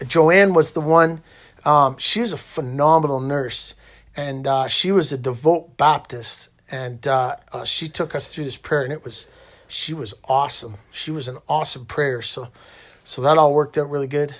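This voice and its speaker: A man's voice: on the high side, moderate pace. A middle-aged man with a Canadian accent, talking casually and colloquially in a rambling storytelling way as he hosts. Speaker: interviewer and host recounting own hospital stay.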